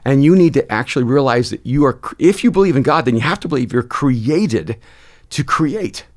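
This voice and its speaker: A man in his sixties, born in El Salvador, talks expansively into a close studio mic in a dark podcast studio. His mid-pitched voice races ahead in places, then slows down to land a point. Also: animated. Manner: expansive, gestures a lot, quick bursts then slow emphasis. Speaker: pastor and author, podcast guest. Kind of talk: reflective conversational storytelling. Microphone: studio mic on a boom, close.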